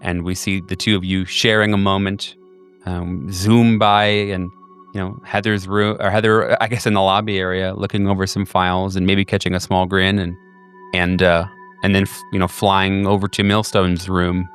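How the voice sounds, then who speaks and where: A man with a very low voice narrates a tabletop horror game as its game master. He talks haltingly, circling back and restarting his sentences, while soft music plays in the background. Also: little pitch movement, clean tone. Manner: halting, many restarts and fillers.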